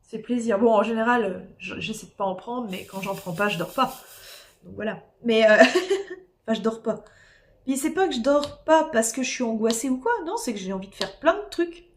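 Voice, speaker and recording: A woman with a slightly high voice, rough from a cold and a sore throat. She sounds tired and rambles loosely, with lots of fillers and false starts, as she chats with her viewers from home. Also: lively intonation, moderate pace. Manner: rambling, chatty, many fillers and restarts. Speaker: streamer doing diamond painting. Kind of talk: casual live-stream chat with viewers.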